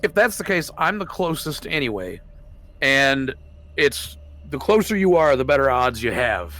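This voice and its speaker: A man is talking through his moves as a player in a tabletop game. His voice is middle-pitched and conversational, and there is faint noise behind him. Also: big pitch swings, normal speed, fairly bright tone. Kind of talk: casual group game chat.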